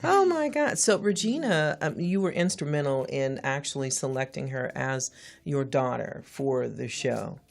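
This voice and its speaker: A woman in her forties hosting an interview, eager and quick to laugh loudly, with lots of fillers. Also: rumbling low, animated, creaky, a little hiss or hum. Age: 40s. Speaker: interview host. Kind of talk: casual interview chat.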